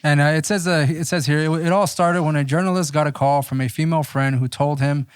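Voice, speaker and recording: A man's voice: a little high, steady tone, a little fast, smooth, no room sound. A man co-hosting a casual podcast, reading from an article and commenting on it. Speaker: podcast co-host.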